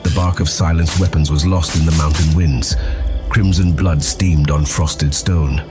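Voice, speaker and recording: A man's voice: very deep voice, fairly even intonation, measured pace. A man giving grave, intense, theatrical first-person narration as the storyteller of an audio drama. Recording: loud music under the voice. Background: loud music.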